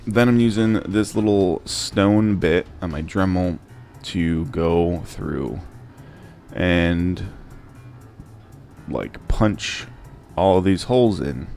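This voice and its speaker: Low-voiced man casually explaining a craft build. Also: slow, gravelly, darkish tone. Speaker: DIY prop maker and channel host. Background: music.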